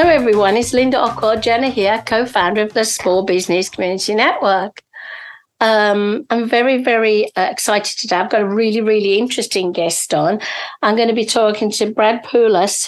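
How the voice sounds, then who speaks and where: A middle-aged woman hosting a podcast interview, enthusiastic and chatty, her voice slightly hoarse. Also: medium pitch. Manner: enthusiastic, chatty, many fillers. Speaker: podcast host. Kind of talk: casual interview.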